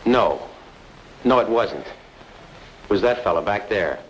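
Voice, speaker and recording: A man's voice: lowish voice, lively intonation, slow pace. An actor playing a hesitant, reluctant male witness in an old-time radio drama. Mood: uneasy. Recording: very noisy, clicking old radio recording.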